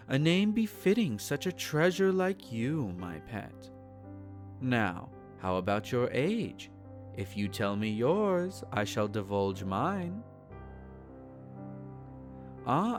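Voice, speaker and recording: A man playing a possessive, teasing vampire in an intimate roleplay audio, speaking in formal, silky tones and courtly endearments. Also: on the high side. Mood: courteous, curious. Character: possessive, teasing, courtly male vampire, centenarian yet youthful. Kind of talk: intimate roleplay audio, scripted character acting.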